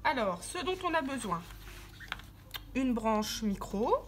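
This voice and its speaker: A woman's voice: fairly high pitch, big pitch swings, takes it slowly. A woman giving an informal, hands-on product tutorial, explaining step by step as she handles the parts. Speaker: tutorial presenter for a motorcycle gear channel. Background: background music.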